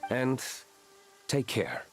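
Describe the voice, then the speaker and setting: A man's voice: mid-range voice, very animated, very slow, music in the background, full character voice. An actor playing a stern, reserved young nobleman in a video game, speaking in a formal, terse and aloof manner. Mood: curt.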